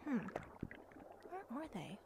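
A woman's voice: slow pace, noisy background, close to an everyday voice. A woman voicing a cheerful, forgetful female fish in a fan dub, bubbly and scatterbrained, trailing off mid-thought. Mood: apologetic. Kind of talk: amateur fan dub of an animated film.

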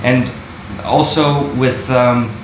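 A man speaks on a panel at an ordinary middle pitch, thinking aloud and often restarting his sentences as he hunts for the right word. He is in a wood-panelled room, and his voice echoes off the walls over a steady background hum. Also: very slow. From Bulgaria. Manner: thoughtful, searching for words, frequent restarts. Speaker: actor and translator on a panel. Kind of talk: panel discussion answer. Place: wood-panelled university room, audience present.

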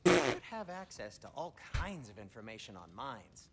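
A man's voice: mid-range voice, sing-song, normal speed, a crowd in the background. A man playing a fussy, eager, fretful dwarf craftsman in a video game, chatty and prissy. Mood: helpful.

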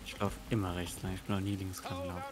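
A man's voice: low voice, completely flat delivery, fast talker. A German man playing co-op over a voice call, making dry, teasing remarks. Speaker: co-op teammate. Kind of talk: casual gameplay chat.

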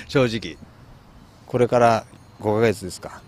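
A man answering interview questions outdoors in an informal, halting, unscripted way. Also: on the low side, slight background noise. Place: outdoors in a park.

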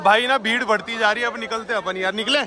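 A man's voice: sits high, steady tone, fast talker. A young man vlogging casually, chatting and haggling to camera as he shops a busy night market. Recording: crowd noise of a street market.

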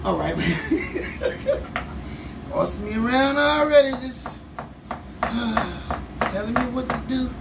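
A middle-aged man hosting a relaxed cooking demonstration in a home kitchen, teasing and laughing often. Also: very high-pitched, very slow, gravelly, long pauses. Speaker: chef and cooking show host. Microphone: far camera. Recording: echoey kitchen, distant mic, loud background noise.

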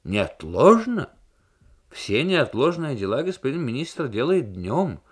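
A man's voice: mid-range voice, sing-song, takes it slowly, husky, pitched above the performer's own voice, full character voice. A man plays a German telephone attendant in a radio story. He is stiff and officious, speaking with pedantic, haughty formality.

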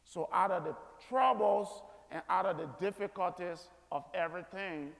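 A man in his thirties preaching a sermon from an auditorium stage in an earnest, deliberate manner. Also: high-pitched, gravelly, fairly bright tone. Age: 30s. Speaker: guest chapel speaker. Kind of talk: sermon, preaching to a school chapel. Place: large auditorium stage, indoors. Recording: echoey auditorium with long reverb.

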